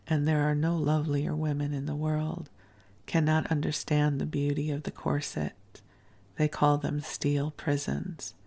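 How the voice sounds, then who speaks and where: A woman reading the lines of a naive, admiring male Persian minister, explaining earnestly in a slow, even voice. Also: low voice, vocal fry, full character voice.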